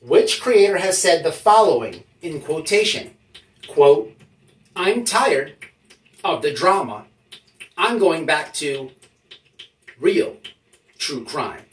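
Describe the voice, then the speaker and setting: The voice sits back from the mic in an echoey room. It belongs to a YouTube host delivering mock-serious commentary, with pitch that swings widely and an emphatic, official-sounding delivery that halts for effect. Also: expressive, slow, pauses often. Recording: roomy echo, distant from the mic.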